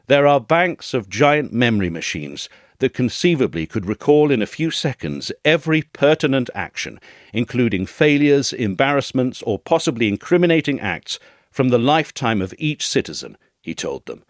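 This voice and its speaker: A man reading a scripted essay as narrator, in a measured, formal way with dramatic phrasing. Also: mid-range voice, big pitch swings, no echo, studio quality.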